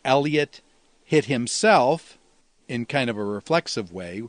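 A man's voice: mid-range voice, lively intonation, slow, no room sound. Man teaching in a very dry recording. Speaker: teacher of New Testament Greek. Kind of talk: instructional lecture, explaining with examples.

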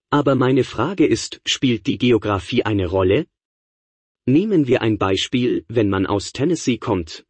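A man's voice: mid-range voice, darkish tone, husky, dry recording. A middle-aged man lecturing to college students in a conversational way, firing rhetorical questions at the class. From Niagara Falls area, New York, USA. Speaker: professor.

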